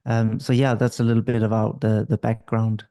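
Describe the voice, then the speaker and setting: Calm man explaining patiently. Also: on the low side. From Denmark. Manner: calm, measured, explains at length. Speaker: guest couples therapist. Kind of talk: explanatory interview talk.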